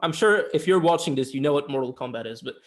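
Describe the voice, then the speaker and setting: A man co-hosting a podcast, explaining and discussing a film in a casual, measured conversation. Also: on the high side, expressive. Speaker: podcast co-host. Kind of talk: casual film review chat.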